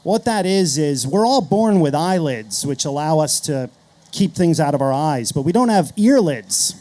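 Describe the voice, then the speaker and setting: Male marketer on a panel, middle-aged. Soft dark timbre, slightly high register, measured and earnest. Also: sing-song. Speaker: marketer and podcast creator, panel guest. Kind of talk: explanatory panel talk.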